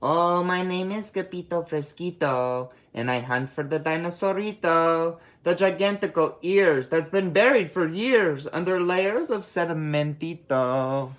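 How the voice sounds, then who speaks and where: A storybook reader voicing an excitable, boastful little boy kitten with a big imagination. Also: mid-range voice, measured pace, hardly any pauses, pitched above the performer's own voice, close to an everyday voice. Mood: sing-song, playful. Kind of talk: storybook read-aloud character voice.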